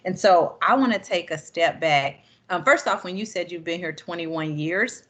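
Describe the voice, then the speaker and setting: A woman's voice: on the low side, moderate pace, a bit bright. A woman hosting a panel session on a video call, asking questions in a friendly, conversational way with upbeat asides. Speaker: session host.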